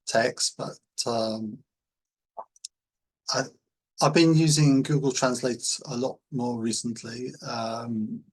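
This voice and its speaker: A man teaching in an informal tutorial, explaining things in a halting, hesitant way. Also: mid-range voice, slightly rough, low-quality recording. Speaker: language-learning teacher.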